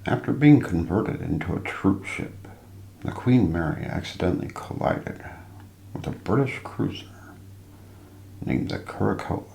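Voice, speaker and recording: A man narrating a scripted mystery documentary as an ASMR role play, in a hushed, deliberate, suspenseful manner. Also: low voice, lively intonation, gravelly. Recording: roomy echo, light background noise.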